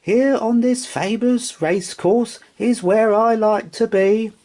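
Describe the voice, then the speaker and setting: A man's voice: high voice, steady tone, takes it slowly, warm tone, voice pushed up. A man voicing a wistful, eager toy rocking horse in a children's storybook, with an earnest singsong delivery. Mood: delighted.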